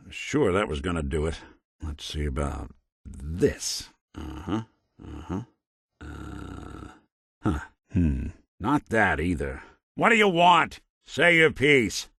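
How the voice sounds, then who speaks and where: An actor playing a wry, world-weary, decent middle-aged robot private detective in a video game, in a streetwise American drawl with a hard-boiled noir style. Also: male voice, deep voice, dry recording, clean studio sound, exaggerated voice. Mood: calm, puzzled.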